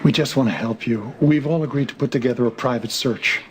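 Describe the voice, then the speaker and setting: A man's voice: mid-range voice, brisk, background noise, lightly acted. An actor playing an earnest, needling middle-aged father in a soap opera. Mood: concerned.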